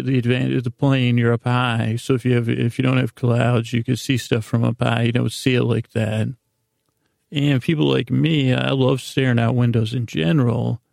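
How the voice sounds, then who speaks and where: An American man hosts a bedtime podcast in a heavily creaky, gravelly voice at a middling pitch. He meanders through tangents, halting and trailing into long pauses. The sound is close and dry, with no room around it. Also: a little mellow, dry recording. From United States. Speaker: podcast host. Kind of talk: rambling bedtime podcast monologue.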